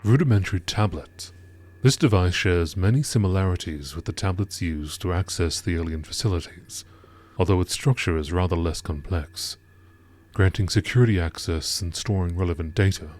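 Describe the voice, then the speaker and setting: Male narrator, low voice, scripted nature documentary read, formal and measured. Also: a little mellow. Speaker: narrator reading game lore entries.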